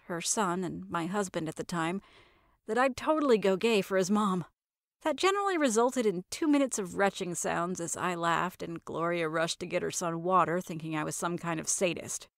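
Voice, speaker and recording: A woman's voice: medium pitch, dry recording, clean studio sound. A woman hosting and narrating a fiction podcast, telling a first-person story in a wry, theatrical way.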